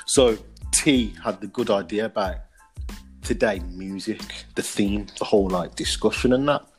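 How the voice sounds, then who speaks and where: A man's voice: lowish voice, normal speed, faint music behind. Laid-back young man, laughing. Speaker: podcast co-host. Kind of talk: casual chat among friends.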